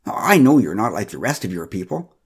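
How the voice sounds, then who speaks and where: A man voicing an oblivious, preachy older woman neighbor in a spoken story, with a husky voice. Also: on the low side, big pitch swings, fairly quick, full character voice. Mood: indignant.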